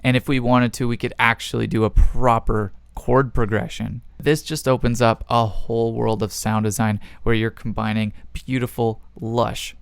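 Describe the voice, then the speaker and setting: A man's voice: mid-range voice, little pitch movement, moderate pace, gravelly, hardly any pauses, smooth. A man hosting a music production tutorial, explaining things step by step in a casual way.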